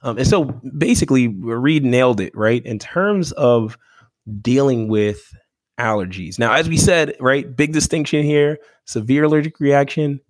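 A man explaining health topics in a measured, teaching way, often checking in with "right?" and filling pauses with "um.". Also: mid-range voice, expressive, dry recording. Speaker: medical expert co-host. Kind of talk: explanatory health podcast talk.

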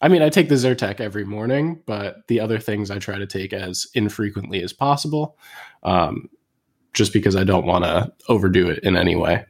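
Relaxed, low-voiced man chatting casually. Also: brisk, slightly rough. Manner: relaxed and conversational, with "like" and "um". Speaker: podcast co-host. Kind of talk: casual chat.

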